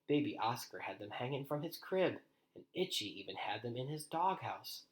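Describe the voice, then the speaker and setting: A man with a mid-pitched voice reads a children's story aloud. His delivery is animated and deliberate, shaped as expressive storytelling. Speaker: storybook reader. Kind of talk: expressive children's read-aloud with quieter asides.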